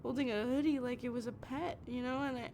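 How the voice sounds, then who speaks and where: A woman playing a heartbroken, obsessive young woman in an intimate audio roleplay, pleading over the phone in halting, stammered, repeated phrases. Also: fairly even intonation, darkish tone, natural voice. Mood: sad, wistful. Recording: noisy recording with low rumble.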